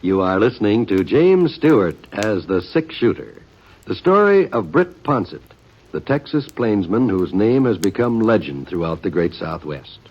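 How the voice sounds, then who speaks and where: Low, dramatic-ranging male radio announcer. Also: sing-song, moderate pace, slightly rough. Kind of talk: 1950s scripted radio announcing. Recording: dull old radio recording.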